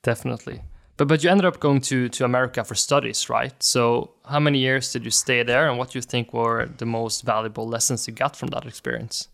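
A man's voice: medium pitch, steady tone, fairly quick, creaky, a bit bright. A man hosting a podcast, asking direct, conversational interview questions. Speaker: podcast host.

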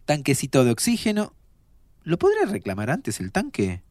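A man's voice: a little high, wildly swooping pitch, moderate pace, a little mellow, dry recording, studio quality. A man playing a sardonic, restless young Argentine man in an audio drama. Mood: wry. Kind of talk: audio drama acting, muttering to himself.

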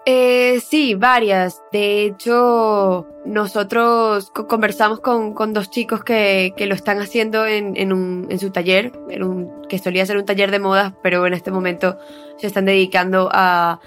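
A woman's voice: mid-range voice, flat delivery, unhurried, fairly bright tone. Woman reporter chatting, kinda hesitant, restarts a lot, faint music behind. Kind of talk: conversational reporting.

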